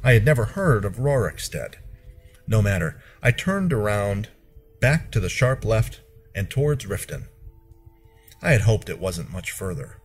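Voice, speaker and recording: A man narrating a first-person fantasy story in a measured, expressive storyteller's delivery. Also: on the low side, slightly rough, faint music behind.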